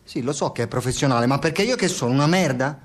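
An actor playing a frustrated, foul-mouthed scientist in an Italian radio drama, sarcastic and given to profane ranting. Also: male voice, mid-range voice, expressive, quick pace, natural voice. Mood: angry, sarcastic. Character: frustrated, foul-mouthed male scientist turned reluctant playwright. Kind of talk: Italian radio theatre acting.

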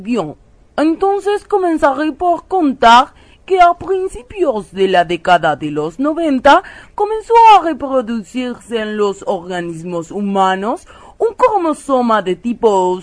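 Woman acting a slow, halting French scientist. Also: on the high side, dry recording. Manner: halting, hesitant, formal lecturing. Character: female French biologist expert, solemn, pseudo-scientific, hesitant. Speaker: interviewed expert guest. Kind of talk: satirical radio theatre interview.

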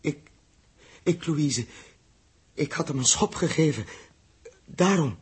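A haunted, guilt-ridden man in an old radio play. His voice is very husky and fairly high. He speaks haltingly, repeating himself. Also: animated, full character voice. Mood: guilty, troubled. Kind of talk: 1960s radio drama acting.

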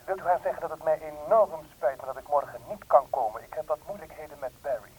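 Telephone effect, evasive caller, lightly high husky voice, hesitant, courteous, radio drama. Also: male voice, animated, fast talker, a little mellow, rough recording. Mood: nervous, polite. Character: nervous, evasive, polite man telephoning. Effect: telephone filter. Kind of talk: radio play acting.

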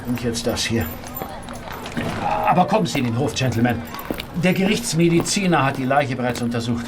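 Actor as a lively, dutiful police constable. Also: male voice, mid-range voice, a little fast, noisy background, close to an everyday voice. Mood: dutiful, shaken. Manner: formal reporting, deferential to superiors. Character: dutiful, blunt Victorian street constable. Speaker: actor in an audio drama. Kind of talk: German radio play acting.

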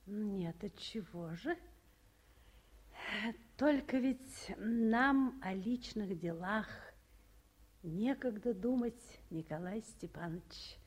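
An actress playing a proud, weary middle-aged town official with gentle irony, in an old Soviet radio play, speaking in measured, pointed phrases. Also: female voice, mid-range voice, slightly rough. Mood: reserved, dignified. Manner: measured, pointed, with meaningful pauses. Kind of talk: mid-century Soviet radio play acting.

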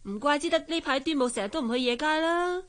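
A haughty, high-voiced spoiled rich woman. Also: animated, quick pace, a bit bright. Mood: spiteful, accusing. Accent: Hong Kong. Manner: sneering, imperious, coquettish. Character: haughty, spiteful young rich woman, jealous girlfriend. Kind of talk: Cantonese radio drama acting.

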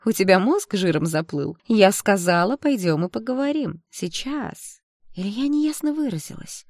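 A woman's voice: mid-range voice, big pitch swings, moderate pace, dark tone, no room sound, studio quality, voice pushed up. A woman voicing a spiteful young female student bully in an audiobook, commanding and sneering, with a fake-sweet edge. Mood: threatening.

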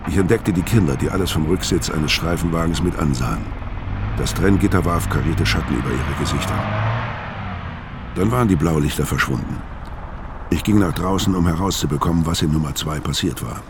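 A man's voice: deep voice, a little fast, noisy background. A man acting a world-weary, hard-boiled criminal who tells his story tersely in a crime radio drama. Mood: tense, watchful. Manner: terse, laconic. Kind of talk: first-person crime radio drama narration and dialogue.

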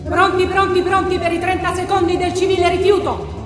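Very high, imperious regime announcer. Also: female voice, level tone, fairly quick. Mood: commanding, contemptuous. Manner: commanding, declamatory. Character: imperious woman announcer of a totalitarian hate ritual. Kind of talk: theatrical radio drama acting. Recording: long hall reverb, music behind.

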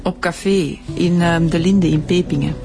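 A woman's voice: lowish voice, steady tone, normal speed, gravelly, over loud music. An actress playing a plain-spoken Flemish female witness in a radio drama. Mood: matter-of-fact. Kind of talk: naturalistic radio drama acting.

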